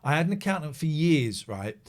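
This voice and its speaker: Animated chatty male radio host. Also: on the high side, brisk. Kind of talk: casual late-night radio chat.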